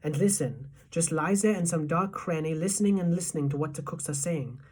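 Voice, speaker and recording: A woman voicing a wily, determined old grandmother who speaks in a measured, deliberate way in a storybook. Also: sits low, a little mellow, slightly rough. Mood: scheming, fond.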